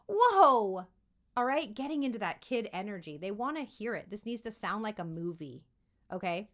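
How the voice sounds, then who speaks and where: A woman's voice: medium pitch, expressive, fairly quick. A woman giving an informal lesson as a voice acting coach for kids, encouraging and emphatic as she demonstrates. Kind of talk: informal instructional video aimed at kids.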